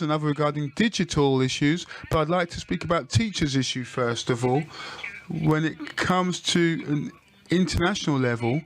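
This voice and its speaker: Man, panel moderator, measured, polite, slightly higher pitch, faint background noise.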